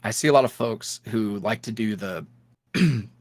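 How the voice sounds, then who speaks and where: A man's voice: moderate pace, dry recording. A young man, an ASMR roleplay creator, chatting candidly as a guest in an interview. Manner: candid, animated, jumps up in pitch when quoting others. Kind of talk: casual interview chat.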